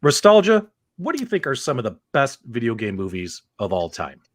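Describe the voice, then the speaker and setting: Lively, upbeat male podcast host. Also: mid-range voice, fairly quick, no room sound, studio quality. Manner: energetic, upbeat, hypes the audience. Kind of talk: live podcast hosting.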